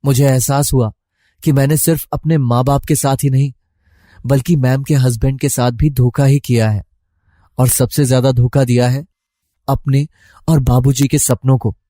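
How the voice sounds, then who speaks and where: A man's voice: mid-range voice, fairly even intonation, moderate pace, dark tone, dry recording, clean studio sound. A young man narrating a scripted first-person story as a college student.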